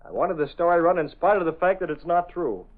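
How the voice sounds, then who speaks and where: A man plays a confident male publisher and secret vigilante in a classic radio serial. He is decisive and businesslike, with a fairly high voice on a dull old broadcast. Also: lively intonation, fairly quick, natural voice. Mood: amused, commanding. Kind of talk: old-time radio drama acting. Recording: muffled, noisy vintage radio recording.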